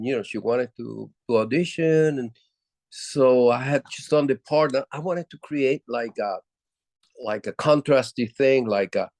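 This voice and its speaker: Low-voiced older man from Puerto Rico. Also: a little mellow, no echo. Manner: halting, many restarts and fillers. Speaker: guest musician. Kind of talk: casual interview storytelling.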